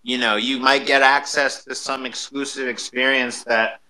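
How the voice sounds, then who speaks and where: A man's voice: medium pitch, little pitch movement, moderate pace, sharp tone, a little hiss or hum, rough recording. An American man hosting a casual interview, chatting informally as he asks and explains. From United States. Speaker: host.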